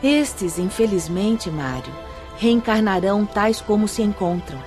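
A woman's voice: mid-range voice, animated, darkish tone, natural voice. Woman acting a formal Brazilian spirit instructor, music behind. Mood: grave, compassionate. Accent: Brazilian Portuguese. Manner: formal, measured, didactic. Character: wise, gentle woman spirit instructor explaining afterlife procedures. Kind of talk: Brazilian radio drama acting.

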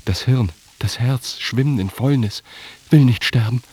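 A man's voice: medium pitch, normal speed, darkish tone, husky, natural voice. An actor in a literary radio drama playing a grim, intense narrator of a man's dying agony. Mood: grim, anguished.

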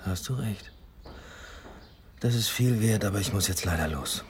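An actor playing an ambitious police inspector in a radio drama, terse, matter-of-fact and probing. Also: male voice, on the low side, normal speed, long reverb tail, slight background noise, lightly acted. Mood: dry, detached. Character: driven, ambitious male police detective inspector. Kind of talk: naturalistic German radio drama dialogue. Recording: faint music bed behind the voice.

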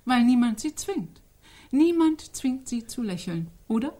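A woman in a German radio play acting a coolly fascinated observer, musing aloud in a soft, dark, husky voice. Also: on the high side, sing-song, measured pace.

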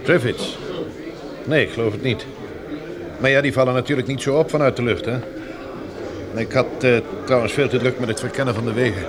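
A man's voice: mid-range voice, fairly even intonation, fairly quick, long pauses, background noise, natural voice. An actor playing an easygoing, casual male helicopter pilot in a Dutch radio drama. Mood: relaxed. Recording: busy crowd murmur behind.

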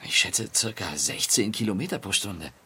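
An actor playing a confident, matter-of-fact male engineer in a dramatized documentary, with a husky voice. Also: on the low side, expressive, moderate pace, pushed and bright, exaggerated voice. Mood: assured. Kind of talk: dramatized documentary radio play.

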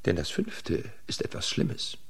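An actor playing a sly, unhurried peasant trickster in a fairy-tale radio drama, with a gravelly, husky voice. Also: male voice, close to an everyday voice. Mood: sly, secretive.

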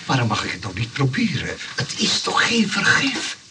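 An actor playing an eccentric, stubborn, self-satisfied engineer in a comic radio play, earnest and insistent, with a Netherlands Dutch accent. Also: male voice, medium pitch, huge pitch swings, moderate pace. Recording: distant, noisy old radio recording.

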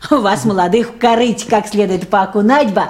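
A woman's voice: medium pitch, fairly quick, a little mellow, lightly acted. An actress playing a motherly middle-aged boat mechanic in a radio play, worried and grumbling in a folksy way. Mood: grumbling, stern. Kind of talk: 1980s Soviet radio play acting.

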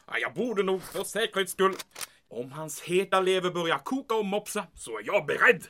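An actor playing a bombastic, paranoid German military commandant in an audio drama, excitable, barking orders and peppering his speech with German. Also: sits high, a little hiss or hum. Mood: scheming, anxious.

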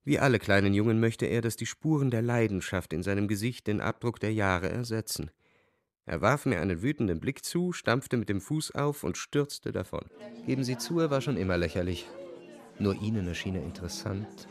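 A jaded, self-satisfied young nobleman in a literary radio drama. His voice sits low and moves widely in pitch, cool and ironic as he narrates from his diary. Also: animated, moderate pace, no room sound, studio quality. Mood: smooth, ironic. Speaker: narrator and lead actor. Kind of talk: radio play acting with first-person diary narration.

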